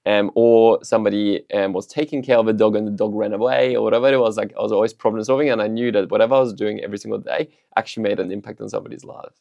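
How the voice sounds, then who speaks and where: A man in his thirties, originally from Austria, answering interview questions in a relaxed storytelling way with frequent ums and restarts. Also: on the low side, rapid-fire, creaky, few pauses, no echo, dull audio. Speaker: startup CEO, guest.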